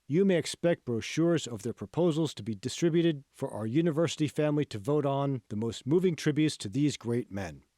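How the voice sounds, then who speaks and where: A man's voice: mid-range voice, animated, a little fast, few pauses, dark tone, dry recording, studio quality, lightly acted. A man reading out a university official's letter in an audiobook, formal, emphatic and ceremonious. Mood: formal, proud.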